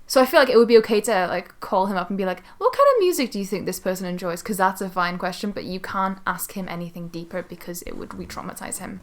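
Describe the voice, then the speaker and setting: A woman's voice: mid-range voice, fast, hardly any pauses. A woman co-hosting a podcast in casual banter, joking, effusive and swearing casually. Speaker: podcast co-host.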